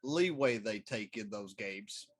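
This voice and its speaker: A man's voice: lowish voice, lively intonation, slow pace. A middle-aged man co-hosting a casual talk show, chatting informally. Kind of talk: casual chat.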